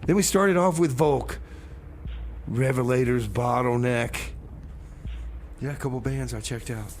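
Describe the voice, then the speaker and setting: A man hosting a music radio show, rambling casually in a folksy, halting way full of exclamations. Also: mid-range voice, a little mellow. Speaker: radio host.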